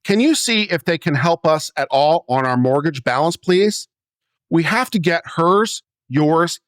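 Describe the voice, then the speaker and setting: A man reading a letter aloud as a dramatic performance, in a theatrical, expressive manner. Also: on the high side, no echo, clean studio sound. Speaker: reader of a letter.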